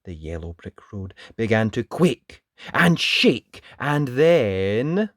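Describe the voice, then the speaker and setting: A storyteller reading a children's picture book aloud in an expressive, dramatic way. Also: medium pitch, slow. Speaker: storybook reader. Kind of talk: animated children's read-aloud narration.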